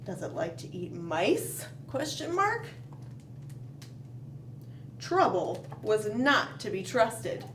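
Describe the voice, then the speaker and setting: Woman reading a storybook, roomy distant sound. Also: mid-range voice. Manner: expressive, dramatic pauses, questioning asides. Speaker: children's library storytime host. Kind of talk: animated picture-book read-aloud with asides to young children. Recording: roomy, distant mic, faint background noise.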